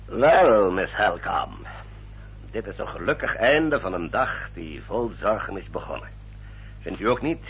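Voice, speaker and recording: A considerate, formal lawyer with a low voice and very lively intonation. He sounds reasonable and earnest in radio play style, on a dull old recording. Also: a little slow, slight background noise. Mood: genial, relieved. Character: formal, reassuring English family lawyer, kindly and reasonable. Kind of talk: Dutch radio play acting.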